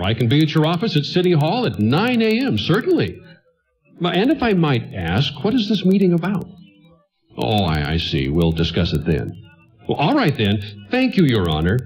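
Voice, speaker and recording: An actor playing a kindly, tired, flustered widowed talent agent in a radio play set in the 1940s, speaking in a hesitant, rambling way. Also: male voice, fairly high pitch, breathy edge, exaggerated voice.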